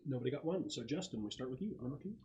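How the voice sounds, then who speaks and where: A man's voice: mid-range voice, lively intonation, racing, darkish tone. A man acting as game master, talking casually and explaining rules at a tabletop session. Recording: clear background noise, clicks.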